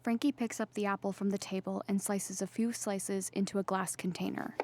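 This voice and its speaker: Woman, flat even intonation, scripted stage-direction narration, neutral, noisy recording. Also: medium pitch, a little fast. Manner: neutral, plain reading.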